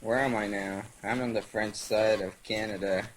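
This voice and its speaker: A young man from Venezuela whose first language is Spanish, telling stories in a halting casual interview with many fillers. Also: fairly low pitch, fairly even intonation, poor audio. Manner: halting, lots of fillers and restarts. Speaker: guest. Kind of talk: casual interview over voice chat.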